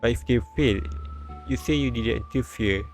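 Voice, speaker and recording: A man reading a visual novel aloud on a live stream, with halting restarts and bits of commentary. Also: mid-range voice, a little mellow. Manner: halting, repeats and restarts lines. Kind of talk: live read-aloud translation and narration with commentary. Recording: background music behind the voice.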